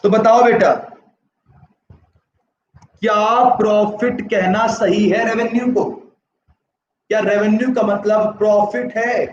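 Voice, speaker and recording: A man's voice: high voice, fairly even intonation, slow pace, long pauses. A man teaching an economics class online, speaking with a sore throat, mixing English terms into his talk and asking students yes-or-no questions. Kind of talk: live online class lecture. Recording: echoey, distant, slightly dull.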